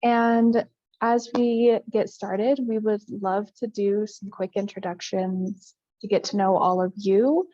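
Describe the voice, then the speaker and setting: Slow, deliberate young woman on a call. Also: medium pitch, fairly even intonation, mellow, no room sound, muffled recording. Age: 20s. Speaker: workshop co-presenter. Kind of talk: online workshop presentation.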